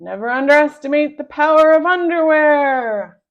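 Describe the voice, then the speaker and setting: A woman voicing a bold, cheerful, bumbling male superhero from a storybook, declaiming loudly and heroically. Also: sits high, lively intonation, moderate pace, highs cut off, pitched above the performer's own voice. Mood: heroic, rousing.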